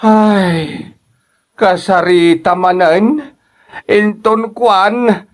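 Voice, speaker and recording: Man acting a slow, high-voiced, worried Filipino parent. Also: steady tone. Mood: concerned. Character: worried, gentle elderly parent of the husband. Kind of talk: amateur Filipino audio drama acting.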